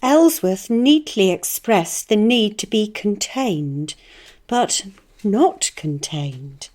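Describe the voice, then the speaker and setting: An actress playing a meek, earnest, wounded amateur poet in a radio drama, speaking in a plaintive, deliberate manner. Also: female voice, mid-range voice, expressive, a little mellow. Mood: solemn.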